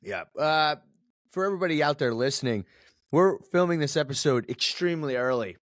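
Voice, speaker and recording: Higher-voiced man hosting a sports podcast. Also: animated, a little fast, dry recording, studio quality. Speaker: podcast host. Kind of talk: casual sports chat.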